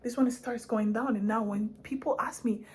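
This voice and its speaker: A young woman from Spain chats straight to camera in a loose, playful vlog style. Her voice sits a little high, and she laughs easily as she wanders from one thought to the next. Now and then she drops into a hushed whisper for an aside. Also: fairly even intonation, measured pace, breathy edge. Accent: Madrid Spanish. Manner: chatty and playful, often laughing, hopping between languages. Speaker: vlogger. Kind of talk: casual chat to camera.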